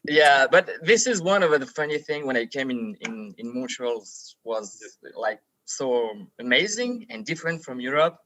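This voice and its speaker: A man's voice: medium pitch, big pitch swings, moderate pace, few pauses, bright, forceful tone, rough recording. A young man from France speaking English with a French accent, chatting animatedly as a podcast guest, swearing, laughing and repeating words. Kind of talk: casual chat.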